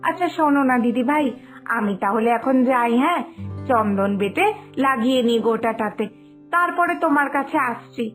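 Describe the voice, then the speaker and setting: A woman's voice: high-pitched, lively intonation, normal speed. A woman voicing a sulky young sister-in-law in a cartoon story, complaining in a pouting, dramatic way. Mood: relieved, eager. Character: whiny, sulky young sister-in-law fretting over her looks. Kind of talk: Bengali animated moral story dialogue. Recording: music behind the voice.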